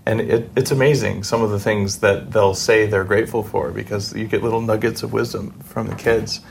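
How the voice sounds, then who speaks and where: A man's voice: lowish voice, fairly even intonation, fairly quick, creaky, a little hiss or hum. A middle-aged man hosting a podcast interview, talking conversationally and in a reflective, personal way. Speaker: podcast host interviewing a guest.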